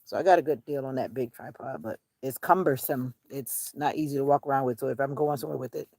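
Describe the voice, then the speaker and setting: A woman with a creaky, gravel-edged voice chats as a vlogger out on a walk. She wanders from thought to thought, half to herself and half to her viewers. The sound is dry, with very little echo around her. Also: extremely low pitch, fast talker, a little mellow. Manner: rambling, musing to herself and viewers. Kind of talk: casual outdoor vlog chat. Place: outdoors on a nature walk.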